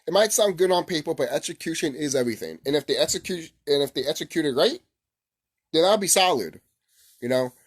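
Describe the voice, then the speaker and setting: A man with a slightly high-pitched voice talks off the cuff like a gaming YouTuber. He is blunt and swears freely, often circling back to repeat his point in a casual, unscripted rant. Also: expressive, a little fast, breathy edge.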